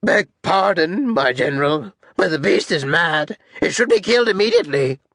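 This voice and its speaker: A man's voice: a little high, expressive, moderate pace, creaky, fairly bright tone, no room sound, clean studio sound, voice pushed up, full character voice. A man voicing a servile, resentful elephant captain pleading with his superior, in an audiobook style. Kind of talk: audiobook character acting.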